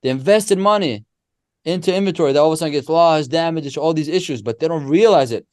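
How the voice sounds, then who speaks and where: A man, a podcast guest who explains ideas through animated, restart-filled stories. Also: fairly high pitch, quick pace, no room sound, studio quality. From Israel. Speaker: guest, company co-founder. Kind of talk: casual podcast interview.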